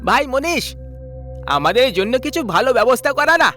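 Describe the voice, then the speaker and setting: Man putting on an excitable, high-pitched young buddy. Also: normal speed, faint music behind, full character voice. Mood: eager. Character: excitable young man, carefree wedding-bound friend. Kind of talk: animated story dialogue.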